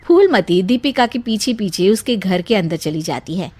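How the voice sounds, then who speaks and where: A woman's voice: medium pitch, animated, moderate pace, music in the background. A woman narrating a scripted children's story as its storyteller.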